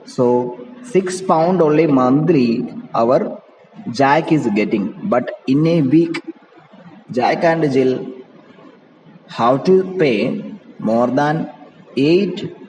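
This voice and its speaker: A man's voice: mid-range voice, animated, drawn-out words, a little mellow. Echoey Indian-accented male teacher explaining a text. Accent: Indian English. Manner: explanatory, repeats and rephrases points. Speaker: English teacher. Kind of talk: reading a play aloud and explaining it line by line. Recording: echoey reverberant room with background noise.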